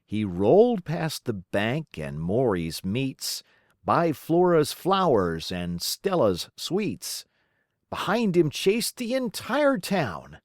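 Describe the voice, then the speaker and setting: Lady reading a kids book out loud, super slow n dramatic, bouncy pitch, dry close sound. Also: wildly swooping pitch, dry recording. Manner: theatrical, deliberate, rhythmic. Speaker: read-aloud storyteller. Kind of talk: expressive children's storybook narration.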